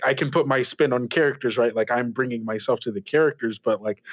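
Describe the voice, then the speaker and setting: A man's voice: mid-range voice, close to an everyday voice. A man playing an affable, flustered radio show host in an improvised interview, stammering and awkwardly polite, with a curious manner. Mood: curious, admiring.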